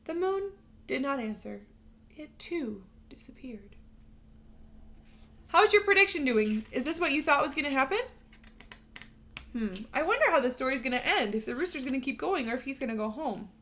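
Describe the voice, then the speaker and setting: Bright, high-voiced woman reading to children. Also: normal speed, long pauses. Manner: expressive, instructional, addressing young children. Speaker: teacher reading a picture book to children. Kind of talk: animated read-aloud storytelling with questions to young learners.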